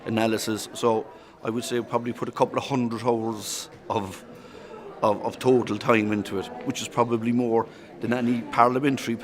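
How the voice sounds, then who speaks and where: A man in his fifties with a Cork accent, speaking deliberately with hesitations. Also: lowish voice. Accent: Cork Irish. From Cork, Ireland. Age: 50s. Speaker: citizens' assembly member.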